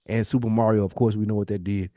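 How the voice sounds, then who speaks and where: A man's voice: on the low side, steady tone, fast talker, mellow, dry recording. Male, forties, podcast co-host. Casual, profane storytelling with slang and repetition, recorded dry and close. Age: 40s. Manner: slangy, profane, repeats phrases for emphasis. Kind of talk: casual chat, personal storytelling.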